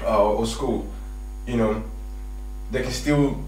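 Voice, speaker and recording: A man's voice: mid-range voice, fairly even intonation, slow, darkish tone. A young man from Zimbabwe, a guest in a small studio, rambling casually with many fillers and acted-out quotes. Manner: rambling, lots of fillers, acts out quoted exchanges. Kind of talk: casual chat. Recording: echoey studio from far off, faint noise.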